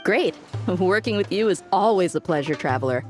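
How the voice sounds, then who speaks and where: Woman doing a chill merc lady, low voice, jokey and easy. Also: moderate pace, soft background music, lightly acted. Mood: easygoing. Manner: relaxed, casual and joking. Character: easygoing confident female desert mercenary. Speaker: mercenary. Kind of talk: video game dub.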